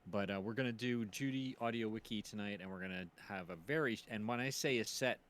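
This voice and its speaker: Low-voiced man chatting informally. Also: brisk, a bit bright. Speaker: stream co-host and performer. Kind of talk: casual live stream chat. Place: live voice chat stream.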